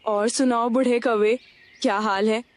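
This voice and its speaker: Young female cartoon bird, played by a woman: high voice, cheeky, lazy, sly troublemaker. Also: steady tone, a little slow, slight background noise, lightly acted. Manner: insolent, scheming. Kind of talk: Hindi children's cartoon dub.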